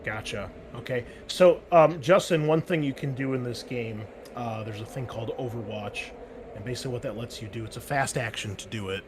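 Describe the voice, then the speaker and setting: A laid-back man running a tabletop game over an online call, describing the scene with plenty of ums and restarts. His voice sits at a middle pitch, with a faint hiss behind it. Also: a little fast. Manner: relaxed, explaining with many fillers and restarts. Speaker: game master.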